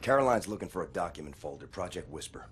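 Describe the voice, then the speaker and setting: An actor playing a gruff, battle-scarred American soldier in a video game cutscene, terse and deadpan, with a gravelly voice. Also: male voice, fast talker, soft background music. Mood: terse, stern. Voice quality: gravelly, low.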